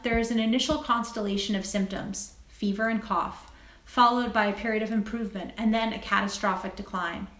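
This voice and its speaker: A woman speaking as a guest doctor and writer, articulate and measured, in an interview and reading from her own essay. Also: medium pitch, a bit bright. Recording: roomy, echoing sound.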